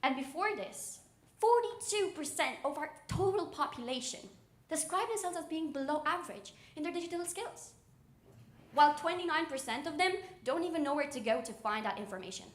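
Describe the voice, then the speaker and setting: Young woman, native Romanian speaker, fairly high voice, live pitch presentation, storytelling manner, echoey event room. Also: expressive, a little fast. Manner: personal storytelling with small chuckles. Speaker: startup founder pitching. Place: indoor event room with an audience. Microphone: event microphone.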